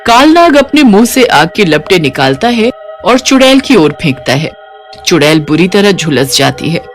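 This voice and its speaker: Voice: mid-range voice, sing-song, normal speed, faint music behind. A narrator reading a scripted cartoon story.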